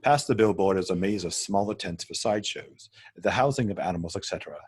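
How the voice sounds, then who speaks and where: A man reads out screenplay directions as the narrator of a table read. His voice is fairly low and rather husky. He goes through the scene descriptions in a measured, scripted manner. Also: a little mellow.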